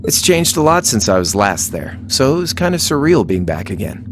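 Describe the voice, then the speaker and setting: Cocky, teasing young rogue, swooping delivery. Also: male voice, medium pitch, sing-song, moderate pace, fairly bright tone, slight background noise. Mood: wry, reflective. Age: young adult. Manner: teasing, flippant, casual. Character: cocky, laid-back young male rebel, teasing rogue. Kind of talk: video game voice acting.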